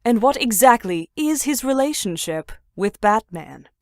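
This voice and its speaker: A woman's voice: fairly high pitch, sing-song, measured pace, darkish tone, dry recording, clean studio sound. A woman voicing a composed, probing television news commentator in a comic fan dub. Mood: critical.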